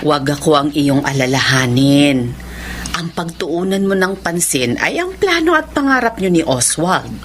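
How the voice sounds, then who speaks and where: Low-voiced aging Filipino mother. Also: big pitch swings, normal speed, a little hiss or hum. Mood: selfless, insistent. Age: older. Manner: gently insistent, selfless. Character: aging, self-sacrificing mother of a grown daughter. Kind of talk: Tagalog radio drama acting.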